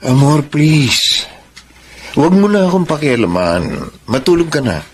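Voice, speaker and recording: A curt, high-voiced Filipino husband, animated. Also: male voice, unhurried, a little hiss or hum. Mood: impatient, exasperated. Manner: curt, dismissive, exasperated. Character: cold, workaholic middle-aged husband, a teacher, impatient. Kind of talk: Philippine radio drama acting.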